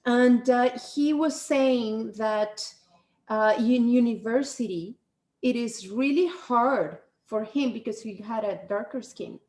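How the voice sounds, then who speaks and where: A woman's voice: on the high side, slow, darkish tone. A woman with a Spanish accent in a casual conversation, rambling and restarting, often tagging "right?" onto her sentences. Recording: echoey room.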